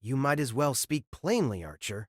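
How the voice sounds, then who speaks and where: A man's voice: mid-range voice, very animated, moderate pace, no room sound, studio quality. A man playing a stern, self-controlled clergyman in an audio drama, formal, grave and literal-minded. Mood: puzzled. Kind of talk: audio drama character acting.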